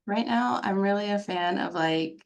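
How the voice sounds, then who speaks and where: A woman's voice: medium pitch, moderate pace, creaky. A woman from Texas chatting casually as a panelist on a video call, informal and trailing off at phrase ends. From Texas, United States. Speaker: webinar panelist. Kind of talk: casual chat.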